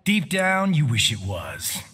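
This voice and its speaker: Cocky American action star, wisecracking, very animated pitch, reverberant game dialogue. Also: male voice, takes it slowly, slight background noise, full character voice. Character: cocky, wisecracking middle-aged male action movie star. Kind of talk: video game fighter banter.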